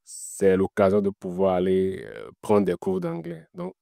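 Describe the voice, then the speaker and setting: A man's voice: sits low, sing-song, measured pace, gravelly, warm tone, dry recording, studio quality. A man being interviewed, giving casual career advice in an explanatory way, repeating his points with frequent filler words. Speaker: interview guest working in Web3.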